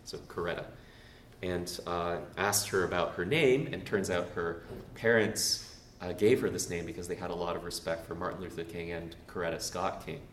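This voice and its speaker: A man's voice: low-pitched, steady tone, normal speed. A young man from Canada giving a casual, self-deprecating church talk with slides, full of fillers and asides. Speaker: presenter. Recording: roomy, far from the mic, faint noise.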